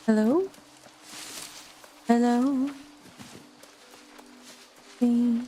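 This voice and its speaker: A woman giving casual let's play commentary and chatting with viewers in a rambling, halting way. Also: on the high side, steady tone, mellow, a little hiss or hum. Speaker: game streamer.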